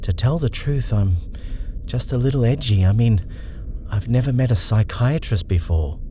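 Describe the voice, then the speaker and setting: A man's voice: mid-range voice, expressive, normal speed, dark tone, slight background noise, pitched above the performer's own voice, close to an everyday voice. A man voicing a polite, hesitant young woman who is nervous. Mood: anxious.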